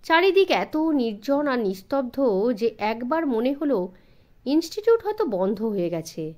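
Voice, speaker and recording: Female audiobook narrator, higher pitch, animated and expressive, measured storytelling for young listeners. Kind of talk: dramatised scripted story narration for children.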